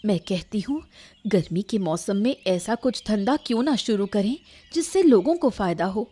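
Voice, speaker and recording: A woman's voice: medium pitch, expressive, moderate pace, dark tone, lightly acted. A woman voicing a blunt, practical village daughter-in-law in a cartoon story. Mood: practical, concerned.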